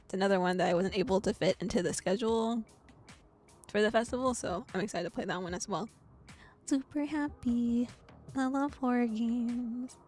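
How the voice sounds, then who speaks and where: A woman's voice: fairly high pitch, normal speed, darkish tone, soft background music. A young woman streamer in casual, rambling chat, giggling often and talking in a bubbly way into a desk microphone. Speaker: VTuber streamer.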